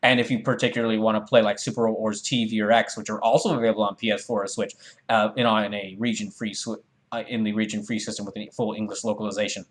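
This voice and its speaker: A man's voice: fairly low pitch, little pitch movement, fast. A man hosting a livestream, chatting casually with viewers and explaining things in a rambling way, often restarting his sentences. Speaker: streamer and host of a live fandub. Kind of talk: casual livestream commentary, chatting with viewers and reading game dialogue.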